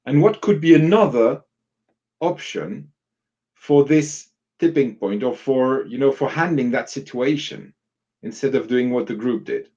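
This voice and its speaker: A man's voice: medium pitch, lively intonation, a little slow, warm tone, highs cut off. A man giving informal, explanatory advice as a workshop facilitator in a live online discussion, illustrating points with example questions.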